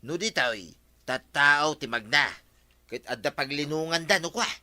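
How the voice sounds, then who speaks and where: Sharp, chummy Ilocano villager. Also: male voice, on the high side, lively intonation, unhurried, no echo, exaggerated voice. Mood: insistent, chummy. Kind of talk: Ilocano radio comedy drama acting.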